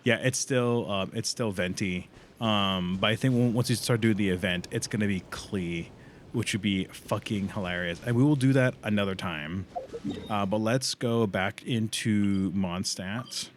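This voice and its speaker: A man doing casual livestream commentary on a game, informal and sweary, thinking out loud as he plays. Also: fairly low pitch, a little slow, a little hiss or hum. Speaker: live game streamer.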